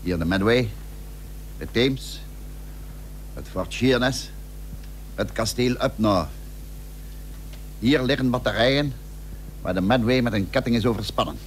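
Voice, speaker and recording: A man's voice: mid-range voice, a little slow, pauses often, natural voice. An actor playing a shrewd, determined statesman in a historical radio play, formal, persuasive and emphatic. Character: shrewd, determined statesman, commanding yet diplomatic schemer. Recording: old radio recording with faint hum.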